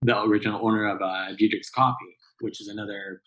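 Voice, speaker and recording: A man in his late thirties with a fairly low voice rambles through a casual interview. His talk is full of fillers and restarts as he works through his thoughts. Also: sing-song, quick pace, gravelly, fairly bright tone, rough recording. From Southern California. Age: 30s. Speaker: barista guest.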